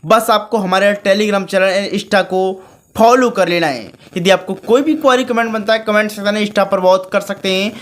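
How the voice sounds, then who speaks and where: A man's voice: high-pitched, moderate pace. Man hosting a chummy tutorial. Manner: chummy, addresses viewers as friends and brothers. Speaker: tutorial channel host. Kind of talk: screen-recorded tutorial commentary.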